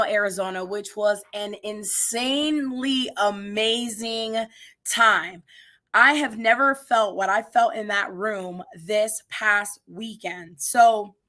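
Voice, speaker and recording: A woman in her thirties hosting an energetic motivational live stream, talking straight to her viewers, her voice a little raspy today. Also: mid-range voice. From United States. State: slightly raspy voice. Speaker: livestream host.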